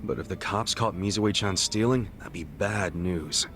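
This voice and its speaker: A man's voice: deep voice, unhurried. An actor playing an earnest, plain-spoken male friend in a video game, talking colloquially and sympathetically with an American accent. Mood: concerned. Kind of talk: video game dub, conversational. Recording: music behind the voice.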